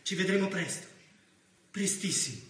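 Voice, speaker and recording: An actor playing a frightened, driven journalist in love, speaking anxiously and urgently in a stage play. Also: male voice, sits high, animated, normal speed. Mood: tense, troubled. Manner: anxious, urgent, confiding narration. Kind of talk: stage play monologue and dialogue. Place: live theatre stage. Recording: roomy theatre echo, faint noise.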